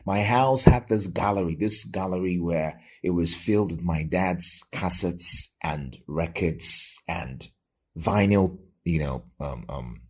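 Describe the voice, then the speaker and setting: Deep-voiced Nigerian man, slightly husky. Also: slow. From Lagos, Nigeria. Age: middle-aged. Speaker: radio presenter. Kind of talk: reflective personal storytelling in an interview.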